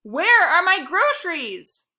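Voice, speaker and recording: A woman voicing a thin, demanding old lady with a shrill voice that screeches at a boy. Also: big pitch swings, slow, bright, forceful tone, exaggerated voice. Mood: outraged. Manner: screeching, indignant.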